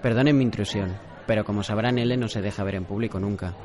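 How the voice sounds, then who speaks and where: Busy room chatter, guy acting polite discreet assistant, lowish, talks fast, formal, radio play. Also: close to an everyday voice. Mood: composed, polite. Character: courteous, discreet male go-between for a secretive detective. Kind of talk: anime radio drama acting. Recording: busy crowd murmur behind.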